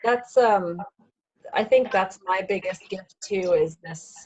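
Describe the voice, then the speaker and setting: A woman's voice: medium pitch, takes it slowly, darkish tone, low-quality recording. A woman co-hosting a podcast, talking in an earnest, searching way. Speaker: podcast co-host. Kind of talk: casual chat.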